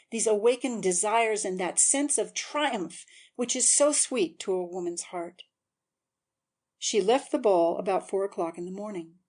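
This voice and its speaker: A woman's voice: mid-range voice, a little mellow. A woman narrator giving a scripted, dramatic audiobook reading.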